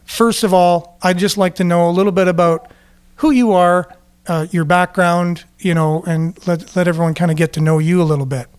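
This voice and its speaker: A man. He hosts a casual interview, feeling his way through sentences in a halting, rambling manner. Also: sits high, steady tone, smooth. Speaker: interview show host.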